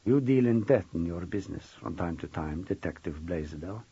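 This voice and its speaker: Man voicing a slow, unnervingly calm older psychic woman. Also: medium pitch, slightly rough, dry recording, highs cut off. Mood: quietly menacing. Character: gaunt Romanian woman psychic, older than she looks, relentless, knowing.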